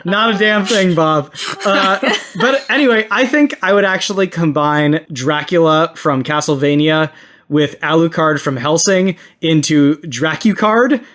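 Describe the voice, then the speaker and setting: A man's voice: on the high side, a little slow, fairly bright tone. A man hosting a casual panel podcast, talking in a nerdy, jokey way. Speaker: podcast host.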